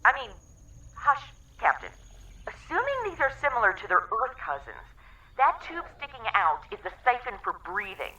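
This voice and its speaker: A woman's voice: on the high side, big pitch swings, normal speed, sharp tone, highs cut off. A woman playing an exasperated, bossy, science-minded spaceship crew member in a sci-fi comedy audio drama, snappy and impatient. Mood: absorbed, explaining.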